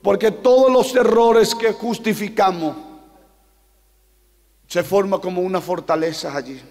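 Impassioned Paraguayan pastor, Guarani native, high-pitched male voice, preaching into a handheld mic in a big reverberant church hall. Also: steady tone. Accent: Paraguayan Spanish. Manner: impassioned, rising to shouts, slows for emphasis. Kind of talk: sermon. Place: large church hall on a stage. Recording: long reverb of a large hall.

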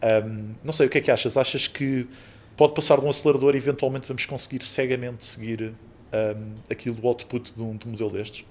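A man's voice: medium pitch, steady tone, fairly quick, a little mellow. A man in his thirties hosting a podcast, asking questions with lots of hedging fillers. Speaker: podcast host. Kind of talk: conversational interview questions. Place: podcast studio room with sofas. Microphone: studio mic on boom arm.

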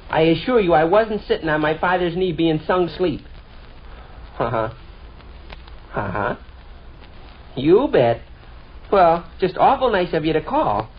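An American man playing an indignant fourteen-year-old boy, mock-formal and exasperated, in 1940s radio comedy style. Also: a little high. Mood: sarcastic politeness. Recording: dull, muffled 1940s radio recording.

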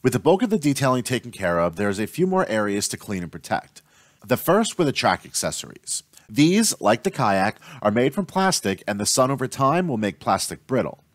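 A young adult man giving a steady, scripted tutorial voice-over as the host of an outdoors channel. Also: mid-range voice, moderate pace, no echo, studio quality. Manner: steady instructional delivery.

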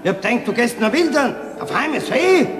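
High-pitched, bombastic alpine rustic. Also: male voice, sing-song, normal speed. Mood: furious. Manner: declamatory, exclaiming. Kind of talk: parodic live radio play acting. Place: TV studio stage. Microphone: stand microphone. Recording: echoey noisy old videotape, loud audience.

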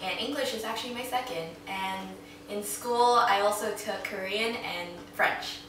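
A young woman whose first language is Chinese chats casually on a panel, softly and hesitantly, with filler ums. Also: medium pitch, unhurried. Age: young adult. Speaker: panel guest. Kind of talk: casual chat. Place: echoey living room indoors. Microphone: far camera mic. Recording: roomy echo, distant mic, faint hiss.

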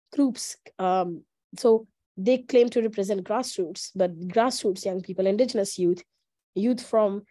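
Young woman on a panel call, mid pitch, dry sound, argues her point in short careful phrases. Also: warm tone, no echo. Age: young adult. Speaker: youth delegate panelist. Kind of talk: panel discussion answer. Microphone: video call.

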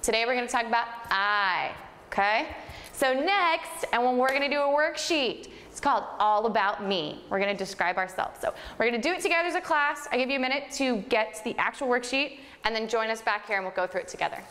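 A woman's voice: on the high side, animated, fairly quick, fairly bright tone. A woman in her twenties from the United States teaching English to young children, patient and encouraging, often praising. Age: 20s. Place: classroom in front of a whiteboard. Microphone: far camera. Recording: echoey room with long reverb and noise.

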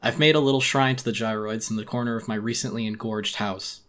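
A man's voice: fairly low pitch, steady tone, brisk, fairly bright tone. A man giving a dramatic first-person audiobook narration of a horror story in a weary, brooding manner.

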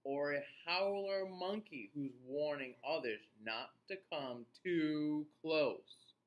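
A middle-aged man reading a picture book aloud to young children, expressive and deliberate, pausing to put questions to his listeners. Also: on the high side, highs cut off.

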